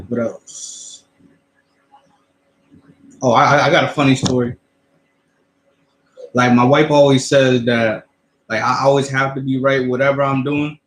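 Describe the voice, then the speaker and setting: A man's voice: medium pitch, roomy sound, poor audio. A young man in his twenties, co-hosting a casual chat, rambling with many stammered restarts. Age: 20s. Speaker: co-host.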